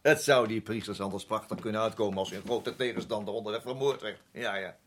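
Man acting a low-voiced, world-weary army captain. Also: quick pace, slight background noise, close to an everyday voice. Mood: blunt, wary. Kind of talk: radio play acting.

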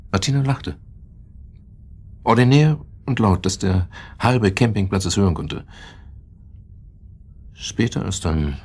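An actor playing a bitter, agitated husband in a German radio play, confessing in a halting, brooding way and repeating his words. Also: on the low side, gravelly, a little mellow, husky, full character voice. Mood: brooding confession.

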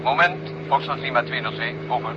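A man's voice: mid-range voice, fast. An actor playing a curt, insistent man giving orders over a radio link in a 1970 radio drama. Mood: official. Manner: clipped, official radio procedure. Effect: radio transmission. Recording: dull, noisy two-way radio sound.

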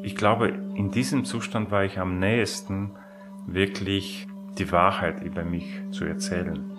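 A man recalling his own life in a reflective, halting and deliberate way. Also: sits low, steady tone. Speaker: interviewee telling own life story. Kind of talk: slow reflective personal recollection. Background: music.

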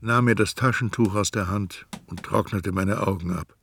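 An actor playing an introspective first-person narrator in a literary radio play. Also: male voice, lowish voice, normal speed, gravelly, a bit bright, a little hiss or hum.

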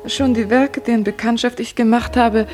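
An actress playing a young, deferential yet cheeky secretary in a German radio play. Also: female voice, on the high side, fairly even intonation, normal speed, a little mellow, soft background music, lightly acted. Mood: reciting.

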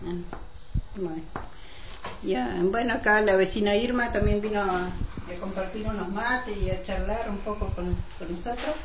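A woman with an Argentine accent playing a neighbourly housewife hosting friends in an amateur radio drama, speaking haltingly and conversationally. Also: medium pitch, lightly acted. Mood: neighbourly, chatty. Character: Selmira, neighbourly housewife hosting women for mate. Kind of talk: amateur community radio drama, semi-improvised chat. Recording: dull lo-fi, clearly noisy.

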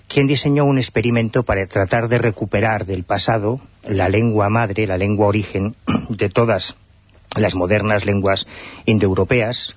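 A man's voice: lowish voice, husky, no room sound. A man co-hosting a radio talk show, telling and explaining a story at length. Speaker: radio co-host. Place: radio studio. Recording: dry lo-fi radio broadcast.

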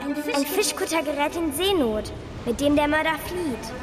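A female performer playing a bright, persistent young daughter with a direct, probing manner in a children's radio play. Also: sits high, expressive, moderate pace, music in the background. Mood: eager. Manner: direct, probing, matter-of-fact. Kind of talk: German children's radio drama dialogue.

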